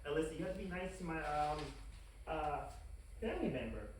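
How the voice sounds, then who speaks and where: A performer acts out a wicked male scientist from a cartoon comedy, scheming yet awkward. The delivery is halting and deadpan. It sounds very roomy and a little off-mic, with faint background noise. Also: mid-range voice, close to an everyday voice. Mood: awkward defensive. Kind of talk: animated comedy table read. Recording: echoey room, distant mic, faint noise.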